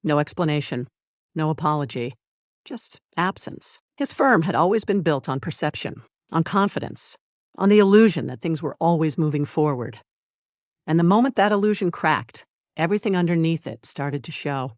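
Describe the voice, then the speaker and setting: A narrator reading a scripted dramatic story in a measured, deliberate way, with short phrases and pauses. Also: mid-range voice, expressive, dry recording, studio quality. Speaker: audiobook narrator.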